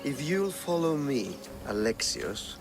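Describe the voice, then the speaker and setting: An actor playing a smug, suave, ruthless schemer with a wry, aloof manner, in a game cutscene. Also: male voice, medium pitch, sing-song, takes it slowly. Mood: cool, aloof. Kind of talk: dramatic game cutscene dialogue.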